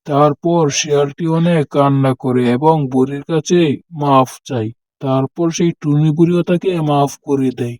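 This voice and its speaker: A man narrates a scripted story for children. His voice sits a little high and he takes his time. The recording is very dry, with no room sound. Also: a little slow, a little mellow, no echo.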